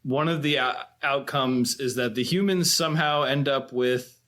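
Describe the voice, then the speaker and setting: A man's voice: mid-range voice, normal speed, a bit bright. A man with a mid-range voice hosts a casual film podcast. He steers the recap informally, in loose conversational phrases. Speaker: podcast host.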